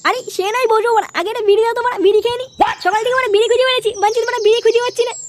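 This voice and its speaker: A performer voicing a cheeky, foul-mouthed young cartoon villager in a squeaky pitched-up voice, excitable and complaining. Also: very fast, background noise. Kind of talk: cartoon comedy dialogue.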